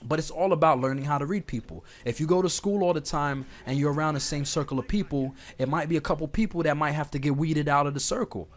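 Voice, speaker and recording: A man with a slightly hoarse voice from being sick, talking casually and directly to his listeners as an online host giving rambling advice. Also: a little high, fast talker, few pauses. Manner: informal, conversational, addresses listeners directly. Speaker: host of an online talk series. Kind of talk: casual rambling advice chat over gameplay. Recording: clear background noise, game sounds. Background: video game playing in the background.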